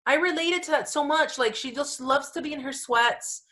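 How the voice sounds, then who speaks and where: A woman's voice: sits high, normal speed, sharp tone. A woman co-hosting a podcast, chatting casually and emphatically in an informal, gushing way peppered with "like" and "you know". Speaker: podcast co-host.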